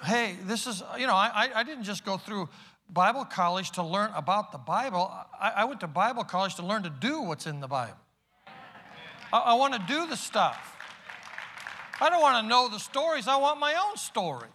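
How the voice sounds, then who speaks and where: A man preaching a sermon as pastor, moving from loud emphatic calls to quiet, hushed asides. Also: sits high, very animated, moderate pace. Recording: long reverb of a large hall.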